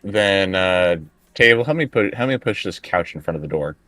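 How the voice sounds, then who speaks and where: A man's voice: low-pitched, expressive, moderate pace, fairly bright tone, no room sound. A man playing a frightened, insistent college student in a tabletop horror game, stammering and repeating himself. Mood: alarmed, insistent.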